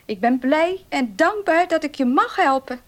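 Fussy devoted old servant lady, highish voice, radio play, noisy tape. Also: animated, measured pace, a bit bright. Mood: doting, urgent. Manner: motherly, urging. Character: devoted old female servant, doting and anxious. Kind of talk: Dutch radio play acting.

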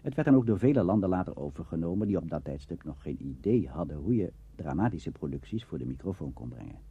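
A man's voice: sits low, sing-song, fast, mellow, husky. A man giving scripted documentary narration in a formal, lecturing manner. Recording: dull, muffled old recording.

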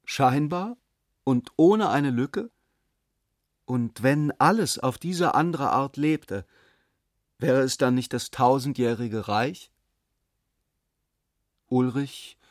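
A man's voice: medium pitch, takes it slowly, pauses often, no echo, studio quality. A man reading literary narration and dialogue for a radio play.

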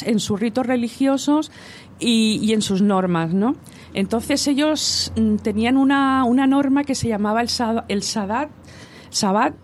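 A woman's voice: medium pitch, a little mellow. A woman co-hosting a casual talk show, explaining food history in a chatty way, often checking in with rhetorical questions.

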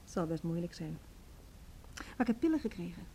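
A weary female music teacher confessing her alcoholism in a radio play. Her voice is soft-edged and mellow, her delivery candid and natural. A faint rumbly noise sits under the recording. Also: sing-song, a little fast. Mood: subdued, resolved. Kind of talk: naturalistic Dutch radio drama acting.

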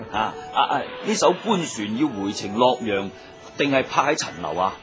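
A man's voice: medium pitch, big pitch swings, normal speed, music in the background. A man playing a hearty, practical former boatman in a Cantonese radio drama, bluff and colloquial. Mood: cheerful.

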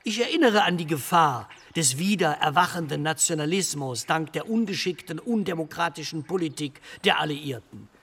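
Formal postwar parliamentarian for radio drama,, high-pitched, legalistic, long reverb with faint noise. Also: male voice, expressive, creaky, fairly bright tone, slightly rough, full character voice. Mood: measured, insistent. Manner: measured, legalistic. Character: cautious, formal parliamentary delegate of 1948. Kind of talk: formal debate speech.

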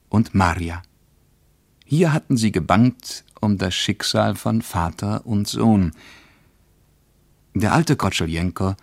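A male actor playing a reflective traveller who reads his travel diary aloud as scripted radio narration, in a measured, literary manner. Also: low voice, no room sound.

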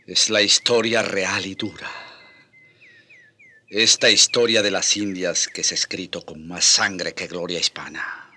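An actor playing a grave, compassionate Spanish friar in a radio drama, solemn and often dropping to a murmur. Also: male voice, sits low, fairly even intonation, a little slow, bright, forceful tone, full character voice. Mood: sorrowful, weary.

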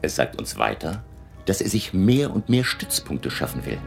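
An actor playing a grave, learned vampire hunter who lectures his allies deliberately and emphatically in a German radio drama. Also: deep voice, big pitch swings, gravelly, soft background music, full character voice. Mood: grave, resolute.

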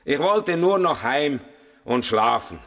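A weary, eminent old man in a radio crime drama. The voice is creaky and fairly high, disgruntled, and carries in a hall-like reverb. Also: animated, slow, fairly bright tone, exaggerated voice. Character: weary, eminent old householder surprising burglars.

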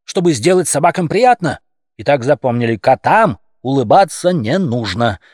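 A man's voice: mid-range voice, animated, normal speed, a little mellow, dry recording, clean studio sound, lightly acted. A man voicing a pompous cat leader in an audiobook fairy tale, a bombastic demagogue who speaks with heavy emphasis. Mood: preaching, emphatic. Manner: emphatic, pompous, repeats phrases with pressure. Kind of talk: audiobook character acting, satirical fairy tale.

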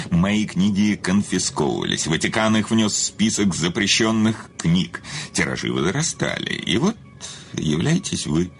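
Deep-voiced, cynical, grandiloquent famous writer. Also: male voice, vocal fry, exaggerated voice. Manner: grandiloquent, theatrical, sardonic. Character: cynical, vain famous male writer, menacing confessed killer. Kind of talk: radio play acting.

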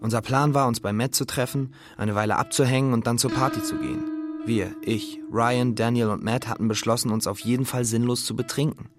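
A man's voice: medium pitch, fairly even intonation, fairly quick, soft background music, natural voice. An actor playing a laid-back, loyal teenage boy telling his story in a dry, matter-of-fact way in an audio drama. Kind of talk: first-person audiobook drama narration.

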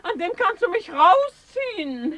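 An actress playing a gruff, feisty, scolding old grandmother in a German radio drama, with a husky voice and a blunt, grumbling way of exclaiming. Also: very high-pitched, big pitch swings, a little slow, crowd noise, exaggerated voice. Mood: exasperated, panicked. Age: older.

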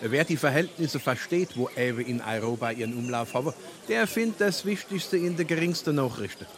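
A man's voice: mid-range voice, animated, brisk, fairly bright tone. A man playing a self-important, lecturing middle-aged newspaper fanatic with a Hessian accent in a dialect comedy. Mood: pompous, lecturing. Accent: Hessian, Darmstadt dialect. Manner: pompous, lecturing. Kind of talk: dialect stage comedy acting.

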